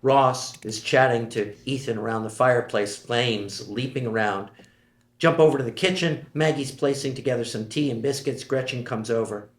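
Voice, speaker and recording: A man reading stage directions aloud in a measured, steady way at a screenplay table read. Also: mid-range voice. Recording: echoey room.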